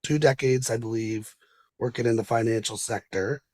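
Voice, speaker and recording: A man hosting a podcast, asking questions in a loose, halting way with many fillers. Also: medium pitch, a little mellow, no room sound. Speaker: podcast host. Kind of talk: interview questions.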